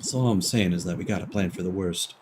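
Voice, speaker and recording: A man voicing a gruff, skeptical smuggler from a video game, blunt and slangy. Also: low-pitched, fast, over music, lightly acted. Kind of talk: reading video game dialogue aloud.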